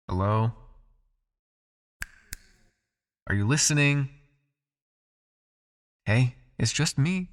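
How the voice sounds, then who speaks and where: A man playing a possessive, teasing vampire captor in an intimate ASMR hypnosis roleplay, speaking in a soft, coaxing, commanding way. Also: medium pitch.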